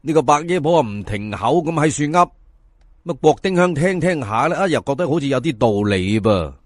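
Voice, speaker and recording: A man's voice: mid-range voice, brisk, dry recording. A male storyteller narrates in colloquial Cantonese with a dramatic folk-tale style. His intonation rises and falls widely as he addresses listeners directly. The recording is dry and close. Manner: expressive, conversational asides to listeners.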